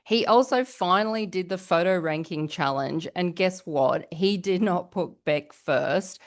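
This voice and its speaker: A woman's voice: on the low side, a little slow. A woman hosting a podcast, giving casual, chatty reality TV gossip commentary and putting questions to the listeners. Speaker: podcast host.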